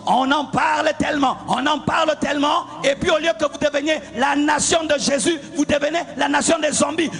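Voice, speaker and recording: A man from the Congo preaching a fervent sermon as a pastor, repeating lines and shouting in a half-sung cadence. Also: very high voice. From Democratic Republic of the Congo. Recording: preaching over loud music.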